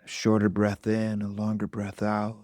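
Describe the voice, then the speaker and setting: A man leading a guided meditation as a teacher, speaking softly and gently in short phrases with long, unhurried pauses. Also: lowish voice, monotone, dry recording, clean studio sound.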